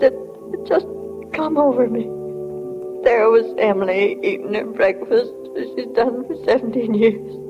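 Casting: actress as sentimental, weepy bride's mother, high register, vintage radio monologue. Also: dull audio, natural voice. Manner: plain, wistful. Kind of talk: old-time radio drama monologue.

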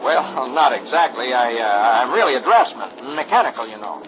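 A man's voice: mid-range voice, big pitch swings, normal speed, natural voice. Man voicing a polite hesitant stranger. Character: smooth, hesitant grown male stranger. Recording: muffled old radio recording with hiss.

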